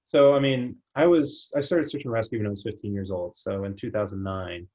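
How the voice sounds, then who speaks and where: A young man with a slight New Zealand accent, a company founder talking in a relaxed, plainspoken way as an interview guest on a video call. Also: fairly low pitch, fairly quick, highs cut off. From United States. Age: young adult. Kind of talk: casual interview.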